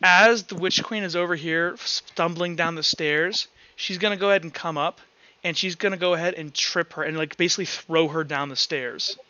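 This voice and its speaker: A man working as game master for a tabletop roleplaying game, narrating off the cuff in a casual, halting way. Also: on the high side, steady tone, sharp tone, no echo. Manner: casual, halting, uses filler words. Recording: dull lo-fi, music underneath. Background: background music.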